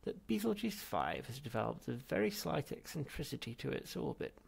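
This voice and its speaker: A man voicing an ancient, querulous ghost of a great-grandfather in a storybook, screechy, rasping, scornful and scolding. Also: mid-range voice, big pitch swings, voice pushed up, full character voice. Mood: stern disapproval. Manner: snappish, scolding, drawn-out words.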